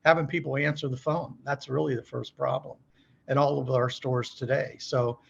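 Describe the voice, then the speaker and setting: A man's voice: mid-range voice, flat delivery, moderate pace, vocal fry, breathy edge, muffled recording. A middle-aged man speaking as a podcast guest, explaining business practice conversationally in a folksy way, often posing questions and checking in with the listener. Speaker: podcast guest, company executive.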